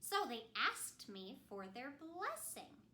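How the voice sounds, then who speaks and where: A woman performs a children's story as an ice queen. Her voice is high and lively, and she speaks slowly and theatrically, in simple words meant for young listeners. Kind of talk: animated first-person children's storytelling. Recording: roomy echo.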